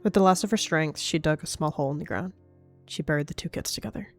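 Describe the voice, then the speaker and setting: Slightly low-voiced woman narrating drama. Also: fast talker, faint music behind. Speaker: storyteller. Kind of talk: dramatic third-person story narration.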